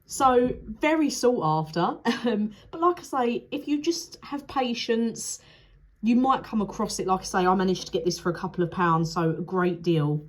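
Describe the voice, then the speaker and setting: A woman's voice: mid-range voice, expressive, moderate pace. Nasal woman with a cold. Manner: chatty, frank, repeats "like I say". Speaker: candle reviewer. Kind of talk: casual product review vlog.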